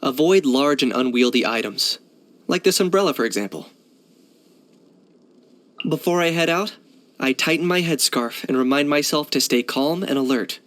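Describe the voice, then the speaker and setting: A man's voice: on the high side, animated, moderate pace, pauses often, fairly bright tone. A man playing a cool, pragmatic young hunter and mercenary in a video game, composed and matter-of-fact with a dry delivery. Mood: calm, polite. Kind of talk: video game character acting.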